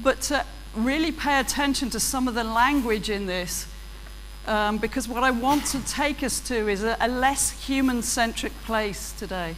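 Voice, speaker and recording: A woman's voice: on the high side, moderate pace, a bit bright. A British woman giving a chatty, self-deprecating keynote talk on stage with a handheld mic. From Britain. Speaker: keynote speaker. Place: large auditorium stage with audience. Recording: long hall reverb, faint hum.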